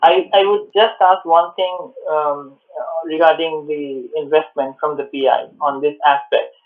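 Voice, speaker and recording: A man's voice: on the high side, fairly even intonation, measured pace. Man on a tinny, phone-like line. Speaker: seminar co-host. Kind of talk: conversational interviewing. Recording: tinny, phone-like online call.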